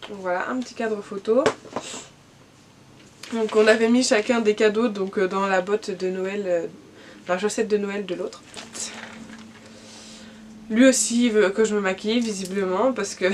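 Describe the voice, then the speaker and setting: A woman's voice: medium pitch, fairly even intonation, normal speed, long pauses, slight background noise. A young woman with a cold, chatting casually in a vlog and showing her gifts, with lots of fillers and restarts. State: has a cold. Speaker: vlogger. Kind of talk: casual gift haul vlog.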